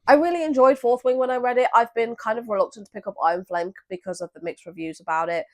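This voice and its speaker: Slightly hoarse, chatty woman. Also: mid-range voice. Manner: friendly, chatty, self-deprecating. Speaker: book vlogger. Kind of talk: casual booktube chat.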